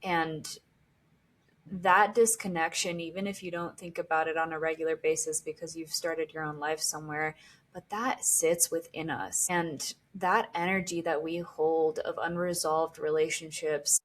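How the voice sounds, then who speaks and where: A woman's voice: sits low, little pitch movement, moderate pace. A young woman from Costa Rica hosting a podcast interview, speaking in a reflective, curious way. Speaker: podcast host.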